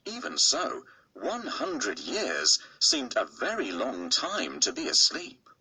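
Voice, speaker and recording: A man narrating a bedtime storybook in a slow, expressive, husky, gravelly storytelling voice. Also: medium pitch, low-quality recording. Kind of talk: bedtime storybook read-aloud.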